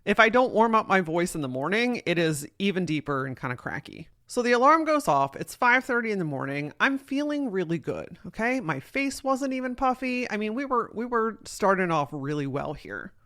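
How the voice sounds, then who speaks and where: A woman in her thirties with a deep voice, hosting a solo podcast and telling a personal story in a candid, swearing, animated way. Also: moderate pace, few pauses. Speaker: podcast host and keynote speaker. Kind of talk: casual solo podcast monologue.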